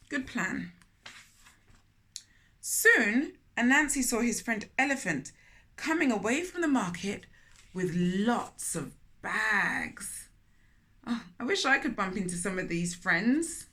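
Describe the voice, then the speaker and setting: A woman's voice: mid-range voice, pushed and bright. A woman hosting a children's read-aloud, telling the story expressively with chatty asides and questions to listeners.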